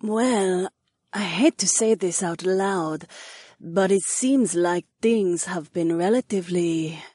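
A woman acting a young princess in an audiobook, sweet and easygoing. Her voice is soft and breathy, and she takes her time, drawing words out. The sound is close and dry. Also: on the low side, takes it slowly, no room sound. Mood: mildly worried. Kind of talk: audiobook character dialogue.

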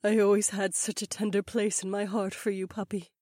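A man voicing a scheming, haughty noblewoman in a high falsetto. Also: monotone, a little fast, a little mellow, no echo, studio quality, natural voice. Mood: cooing. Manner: cooing then screaming in high falsetto. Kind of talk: audiobook character voice.

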